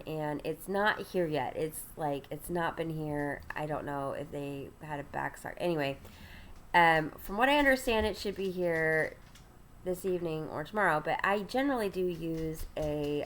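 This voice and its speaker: A woman's voice: deep voice, slight background noise. A woman with a congested, stuffy nasal voice, chatting casually while she does her makeup and rambles with lots of ums. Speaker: ministry host. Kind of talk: casual get-ready-with-me chat.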